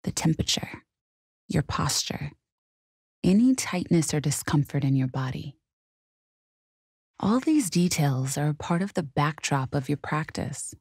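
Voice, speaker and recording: Slow, low-pitched woman guiding meditation. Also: breathy edge, dry recording, studio quality. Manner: calm, gentle, soothing, measured delivery. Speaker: meditation guide. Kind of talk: guided meditation narration.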